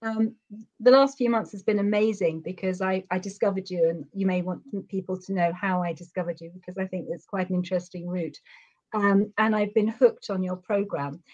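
A woman's voice: mid-range voice, fairly even intonation, a little fast, darkish tone, lo-fi sound. A woman with a London accent, a guest chatting earnestly and at length in an interview over a call. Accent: London English.

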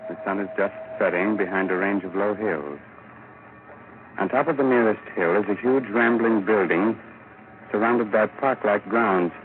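A man narrating a 1940s radio horror show as announcer, sardonic, ominous and deliberate. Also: on the low side. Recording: tinny, noisy 1940s radio recording.

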